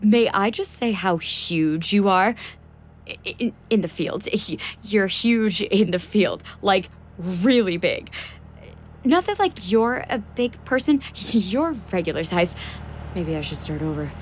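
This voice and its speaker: Comic audio drama: nerdy, indignant young American woman, wordy, emphatic, very animated pitch. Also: takes it slowly, slight background noise. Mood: nervous, earnest.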